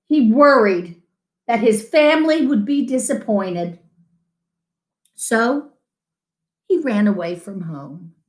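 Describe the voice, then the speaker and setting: A middle-aged woman tells a children's story in a high, very animated voice. She reads slowly with dramatic pauses. The room gives a noticeable echo. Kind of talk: expressive picture-book reading. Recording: roomy home echo.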